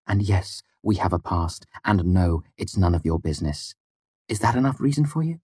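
A man voicing a blunt, sarcastic, proud small woman with a curt, sharp manner, as in an audiobook. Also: low-pitched, animated, normal speed, husky, dry recording, studio quality. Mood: cold fury. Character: sardonic, blunt, foul-mouthed small woman with fierce pride. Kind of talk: audiobook character dialogue.

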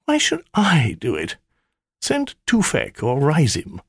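A cheeky male spirit in the guise of a flirtatious maiden, as a man puts her on in a high falsetto-ish lilt. The voice swoops and dances through a lively range, coy and wheedling, with a dry close sound. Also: takes it slowly, breathy edge, no echo, heavily acted. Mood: sulky. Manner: coy, wheedling, mock-sweet. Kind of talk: audiobook character voice.

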